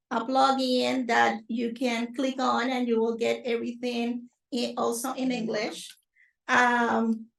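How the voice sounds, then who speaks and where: A woman giving an academic conference talk in a conference room, halting with many fillers. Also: fairly high pitch, gravelly. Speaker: conference presenter. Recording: roomy and distant from the mic.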